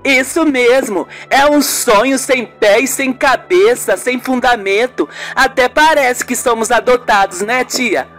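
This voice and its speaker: A young niece in a radio soap, played by a woman. Her voice is pitched high, and she is emotional and pleading in a dramatic way. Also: unhurried, few pauses, fairly bright tone, soft background music. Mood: wistful, earnest. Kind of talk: amateur radio soap acting.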